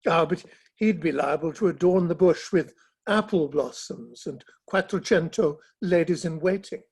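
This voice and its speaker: A man in a play reading, playing an affable Victorian gentleman painter with a husky voice. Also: fairly high pitch, normal speed. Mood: affable, curious.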